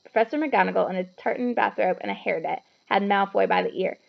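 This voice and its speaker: A woman reading a story aloud to children in an animated, explanatory way, with chatty asides. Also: medium pitch, a little fast, gravelly, dull audio.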